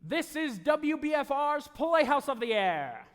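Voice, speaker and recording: A man acts out a jovial old-time radio host with a high-pitched, showman delivery. He announces grandly, as in forties radio, and the voice rings through a hall. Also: sing-song, measured pace, exaggerated voice. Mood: grand, welcoming. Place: theater stage before a live audience. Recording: echoey live hall taping.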